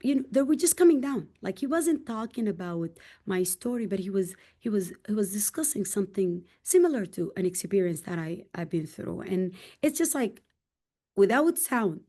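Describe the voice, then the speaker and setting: A middle-aged woman from Sudan with a Sudanese accent, a guest on a podcast, telling her story in an emphatic, heartfelt way and rising in pitch on key points. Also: very animated, moderate pace, mellow. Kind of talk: candid conversational storytelling.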